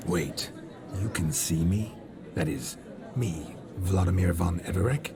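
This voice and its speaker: A man's voice: low-pitched, takes it slowly, vocal fry, a crowd in the background, full character voice. A haughty nobleman ghost. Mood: indignant. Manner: indignant, haughty. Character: proud male nobleman ghost, haughty and touchy. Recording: game cutscene with music score.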